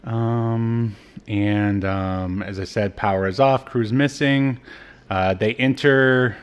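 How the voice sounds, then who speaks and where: A man co-hosting a podcast, casually recapping a TV episode in a halting, informal way. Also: lowish voice, steady tone, smooth. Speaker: podcast co-host.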